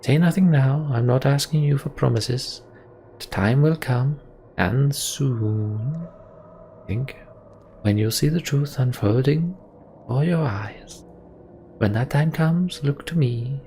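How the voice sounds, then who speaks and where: A man voicing a scheming, solemn mystic in a fantasy game, speaking slowly and conspiratorially. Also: mid-range voice, warm tone, a little hiss or hum, pitched above the performer's own voice.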